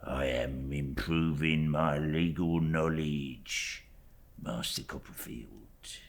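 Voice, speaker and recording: A man voicing an oily, falsely humble young law clerk in an audiobook, with slow, obsequious phrases that sink into a hush. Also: rumbling low, gravelly, heavily acted. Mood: unctuous. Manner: slow, obsequious, drawn-out, hushed phrase endings. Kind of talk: audiobook character acting.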